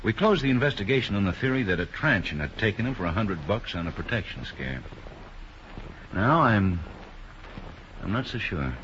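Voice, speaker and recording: A man playing a deliberate, skeptical police sergeant in a 1950s radio drama, with measured, doubtful delivery. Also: fairly low pitch, sing-song, fairly bright tone. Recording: dull, noisy 1950s radio recording.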